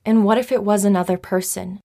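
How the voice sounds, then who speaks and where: An actress plays a reasonable young woman counselling a friend in an audio drama. Her pitch is average for a woman, and her delivery is conversational and measured, calmly placating. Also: close to an everyday voice. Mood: reasoning, placating. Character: calm, reasonable young female friend giving gentle counsel. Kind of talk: naturalistic audio drama acting.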